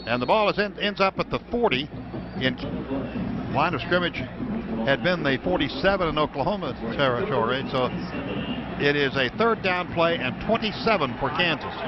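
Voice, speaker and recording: An American man calling football play-by-play on the radio in clipped running descriptions that get excited on big plays. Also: on the high side, normal speed. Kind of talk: live American football commentary. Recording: dull, noisy radio broadcast from stadium.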